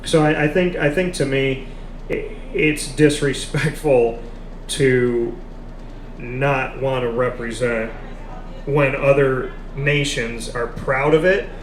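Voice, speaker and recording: A man's voice: medium pitch, fairly even intonation, slow. A man hosting a live sports talk, speaking emphatically and repeating his points for stress. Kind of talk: live casual sports discussion. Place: café. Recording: echoey café with background noise.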